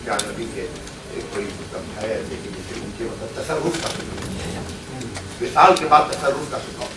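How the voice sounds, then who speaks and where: A man's voice: on the low side, animated, normal speed. Faint man asking from the audience. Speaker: audience member asking a question. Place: mosque hall. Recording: distant voice in an echoey hall, crowd.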